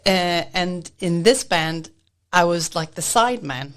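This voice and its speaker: Woman chatting, lower-pitched voice. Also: expressive, slow. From Sweden. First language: Swedish. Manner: chatty, laughs often, trails off with fillers. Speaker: guest, jazz singer. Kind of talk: casual interview chat.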